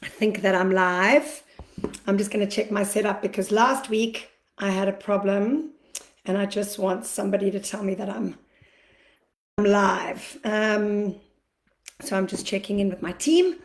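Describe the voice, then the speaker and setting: A chatty woman hosts a livestream from a roomy, echoing space, her voice a touch croaky. She greets viewers by name and talks through her plans at an easy, unhurried pace, with plenty of fillers. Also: medium pitch. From Australia. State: slightly croaky from allergies, clears throat. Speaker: acupuncturist hosting a live Q&A. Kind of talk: casual livestream talk. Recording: echoey room livestream.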